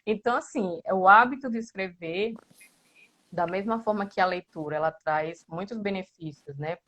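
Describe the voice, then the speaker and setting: A woman's voice: medium pitch, moderate pace, a bit bright, lo-fi sound. A Brazilian Portuguese-speaking woman giving casual, explanatory interview answers, often checking in with small tag questions. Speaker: interviewed member of a writing group.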